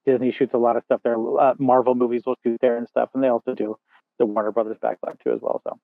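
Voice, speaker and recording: A man with a big booming voice, a film assistant director chatting as an interview guest, rambling with many fillers and restarts. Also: level tone, fast, vocal fry, dry recording. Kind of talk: casual interview chat. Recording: dry tinny phone-like sound.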